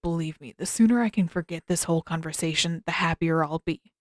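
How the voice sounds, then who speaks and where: A guarded, surly teenage prince performed by a woman in an audiobook. The voice drops lower and stays terse, with earnestness beneath it. The sound is close and dry. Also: brisk, no room sound, natural voice. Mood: irritated. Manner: terse, irritated, low-key. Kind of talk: audiobook character voice.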